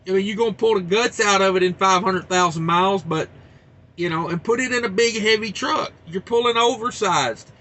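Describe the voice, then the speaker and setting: A man's voice: sits high, sharp tone. A man hosting a trucking news show, reading stories and rambling into joking asides. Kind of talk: casual news commentary.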